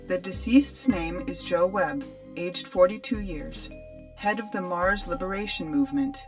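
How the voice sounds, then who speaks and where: A woman's voice: fairly low pitch, expressive, normal speed, natural voice. A woman playing a cool, matter-of-fact AI system administrator in a science fiction audio story. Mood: cool, businesslike. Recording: music behind the voice.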